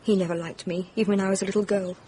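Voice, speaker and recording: An actress playing a wounded, downcast young daughter in a radio drama. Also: female voice, mid-range voice, steady tone, very fast, dark tone, slight background noise, lightly acted. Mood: hurt.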